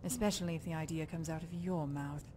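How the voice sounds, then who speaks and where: Woman playing a scornful, low-voiced warrior woman. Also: fairly even intonation, fast talker, natural voice. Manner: sarcastic, dismissive. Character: scornful, bloodthirsty, blunt female warrior companion. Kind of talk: scripted video game dialogue. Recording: clear background ambience noise.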